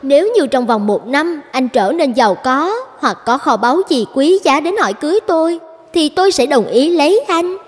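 A woman voicing a haughty, scornful young village chief's daughter in an animated fairy tale, with a disdainful, cutting delivery. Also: high-pitched, moderate pace, no echo. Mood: haughty, challenging. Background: light background music.

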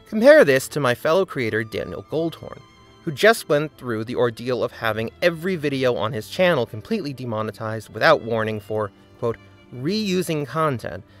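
A man's voice: mid-range voice, a bit bright. Man narrating a critical video essay. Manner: earnest, critical commentary.

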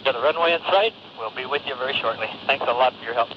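Man, airline pilot, quick delivery, matter-of-fact, muffled cockpit radio transmission. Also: medium pitch, background noise.

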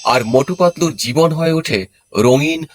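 A man's voice: fairly high pitch, unhurried. A man narrating a scripted story in a dramatic storyteller's style. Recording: loud music behind the voice. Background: loud background music.

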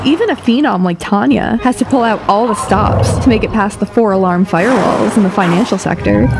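A woman narrating a scripted audio drama as a wry, articulate storyteller. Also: on the high side. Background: music.

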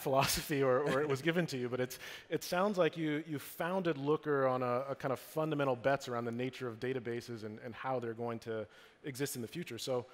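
Middle-aged male interviewer in echoey hall. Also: mid-range voice. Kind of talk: conversational on-stage interview. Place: stage at a conference, indoors. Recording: reverberant conference hall.

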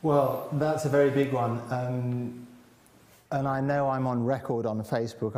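A man in his forties, a musician guest, speaking thoughtfully in a panel conversation in a large hall. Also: fairly low pitch. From Britain. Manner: thoughtful, animated with hand gestures. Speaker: cellist guest. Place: large hall with high windows. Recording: echoey hall.

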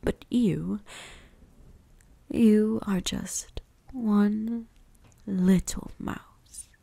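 Woman acting a slow, breathy ancient vampire huntress. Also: mid-range voice, steady tone, dark tone, exaggerated voice. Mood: condescending, amused. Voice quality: breathy, whispery. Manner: slow, teasing, condescending. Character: ancient, predatory, seductive female vampire huntress. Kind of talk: ASMR roleplay, intimate scripted monologue.

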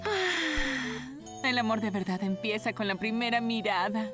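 Woman doing a grumpy cartoon shop girl, bit high, secretly romantic, music under it. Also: unhurried, natural voice. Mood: dreamy, romantic. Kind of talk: cartoon voice acting. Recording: music behind the voice.

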